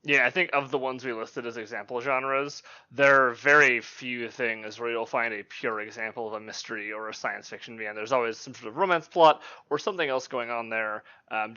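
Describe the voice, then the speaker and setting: A man hosting a casual podcast discussion, animated and rising in pitch as he lists examples. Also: fairly quick, hardly any pauses. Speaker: podcast host. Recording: dull lo-fi podcast audio.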